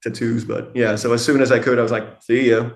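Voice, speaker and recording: A middle-aged man with a Danish accent talks in an easygoing, candid way, swearing now and then and laughing often. His voice sits at an ordinary middle pitch. The room around him gives it a noticeable echo. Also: flat delivery, fairly quick. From Denmark. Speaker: guest, tattoo artist and photographer. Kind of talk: casual interview conversation. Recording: echoey room.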